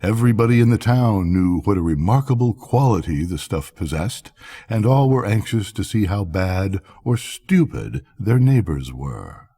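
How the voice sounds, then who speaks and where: A man's voice: lowish voice, lively intonation, moderate pace, dark tone, husky. An older man reading a bedtime story expressively, as a storyteller.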